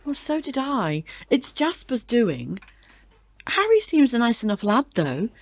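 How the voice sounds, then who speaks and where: An actress playing a chatty, confiding club member in an audio drama, with a gossipy way of explaining things. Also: female voice, on the high side, sing-song, unhurried, dry recording, full character voice. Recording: dull, noisy recording with clicks.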